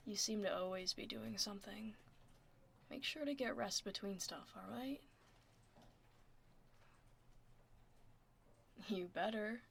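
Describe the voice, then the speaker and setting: Woman acting a soft, creaky-voiced tomboy office admin. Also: mid-range voice. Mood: teasing, concerned. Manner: casual, teasing, reassuring. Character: tomboy werewolf office admin woman, laid-back, gruffly caring. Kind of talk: ASMR roleplay, soft casual office chat. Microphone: close binaural microphone.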